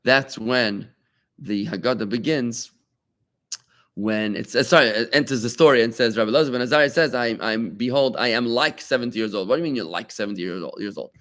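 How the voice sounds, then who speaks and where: A man teaching a live Talmud class as a rabbi, animated and conversational, with rhetorical questions and asides to his students. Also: medium pitch, brisk.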